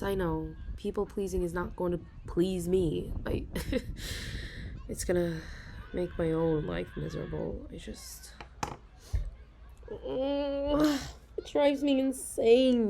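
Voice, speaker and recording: A young woman in a soft ASMR role-play, confiding hesitantly as an anxious office worker, sighing and stopping to restart her sentences. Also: mid-range voice, gravelly, a little hiss or hum. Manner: hesitant and self-deprecating, with frequent sighs and halting restarts.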